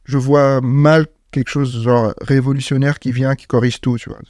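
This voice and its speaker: A man's voice: mid-range voice, fairly even intonation, moderate pace, darkish tone, no room sound, studio quality. A man from Morocco, a startup founder, speaking in a casual interview, hesitant with many fillers and restarts.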